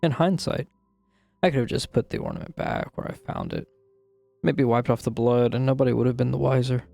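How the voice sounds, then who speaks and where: A man playing a troubled, guilt-ridden narrator confessing a grim childhood memory in a brooding, dramatic horror-story style, with a gravelly, husky voice. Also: medium pitch, very animated, fairly quick, a little mellow, no room sound, heavily acted. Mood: anxious, guilty. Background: music.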